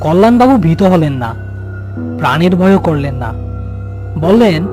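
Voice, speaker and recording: A man's voice: on the high side, big pitch swings, measured pace, over music. A man narrating a scripted horror story as its storyteller.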